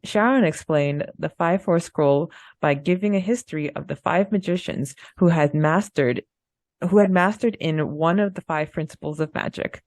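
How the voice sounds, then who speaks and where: A woman's voice: on the low side, normal speed, darkish tone, no room sound. A woman co-hosting a discussion podcast, moving between prepared narration and conversational talk. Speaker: podcast co-host. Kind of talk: book discussion podcast, partly read summary.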